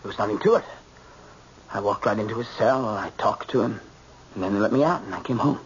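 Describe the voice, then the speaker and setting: A man's voice: lowish voice, fast talker, husky. An actor playing a shaken, brooding young American man, a grown son in an old-time radio drama, intense and insistent. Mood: subdued, defensive. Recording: muffled, faintly noisy old radio recording.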